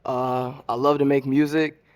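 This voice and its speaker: A man introducing himself on a dating show, relaxed and casual. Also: mid-range voice, a little slow, clean tone.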